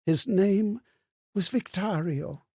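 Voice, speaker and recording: An actor playing a gentle, sorrowful male spirit of borrowed memories in a video game, husky and breathy, with theatrical, musing delivery. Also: sits high, slow, dry recording, studio quality, exaggerated voice. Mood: moved, earnest. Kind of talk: scripted video game character monologue.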